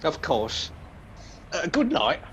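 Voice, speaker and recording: Noisy recording, man playing a high-voiced detective sergeant. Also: wildly swooping pitch, slow pace, vocal fry, a bit bright, exaggerated voice. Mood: deferential. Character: solicitous young male detective sergeant, furtively protective. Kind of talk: live radio play acting.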